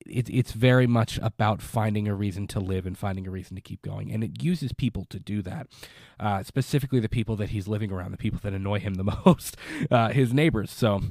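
A man's voice: lowish voice, a little fast, creaky, darkish tone, dry recording, clean studio sound. A young man with a stuffed-up, congested voice hosting a casual movie podcast from his basement, rambling and repeating words. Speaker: podcast host. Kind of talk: casual live podcast review.

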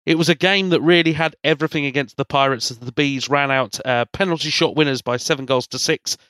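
A man with a fairly high voice, stuffed up with a cold, hosts a hockey podcast. He recaps play conversationally, rambling along with enthusiasm, in a very dry recording. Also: quick pace, pushed and bright, no room sound. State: congested with a cold. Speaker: sports podcast host.

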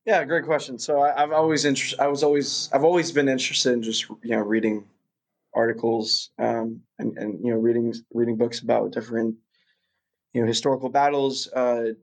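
A man's voice: medium pitch, level tone, fairly quick. A young man from Russia, a guest chatting casually in an interview, rambling with lots of fillers and restarts.

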